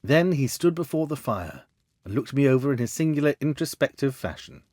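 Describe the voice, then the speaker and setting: Male audiobook narrator: measured, literary, mid-pitched with animated melody, very dry sound. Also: no echo. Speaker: storyteller.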